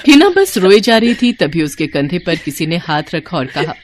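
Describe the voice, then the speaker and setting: A woman's voice: lowish voice, sing-song, normal speed, slight background noise. A woman narrating a scripted moral story as a dramatic storyteller.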